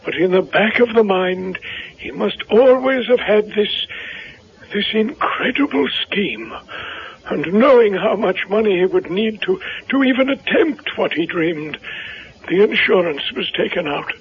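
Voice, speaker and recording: An actor playing an earnest, grave reverend with a husky voice and emphatic delivery in a 1970s radio drama. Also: male voice, sits high, lively intonation, measured pace, exaggerated voice. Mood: grave, appalled. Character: earnest reverend explaining a villain's mad scheme. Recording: muffled vintage radio broadcast recording.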